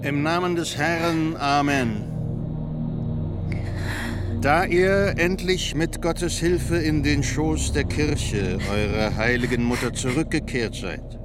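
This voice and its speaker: An actor playing a stern church judge in a radio drama, solemnly pronouncing a formal sentence with ceremonial deliberation. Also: male voice, fairly high pitch. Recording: voice under loud music. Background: loud music.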